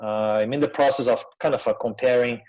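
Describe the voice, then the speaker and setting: A middle-aged man with a Brazilian accent speaks at a medium pitch as he presents a webinar. His delivery is informal and conversational, with frequent hesitations and pauses as he finds his words in a second language after Portuguese. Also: fairly even intonation, a little mellow, poor audio. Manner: hesitant, conversational, pausing often.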